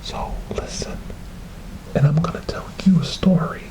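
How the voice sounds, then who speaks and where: A man playing a soothing, eerie asylum helper in a horror ASMR roleplay, coaxing a tormented creature with hushed, repetitive phrases. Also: fairly high pitch, a little slow, natural voice, low-quality recording. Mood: pleading, intense.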